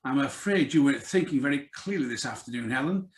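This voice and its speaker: An actor playing a suave, sneering blackmailer with mocking, drawn-out courtesy in a live radio drama reading. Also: male voice, mid-range voice, expressive, roomy sound, lightly acted. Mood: smug, menacing.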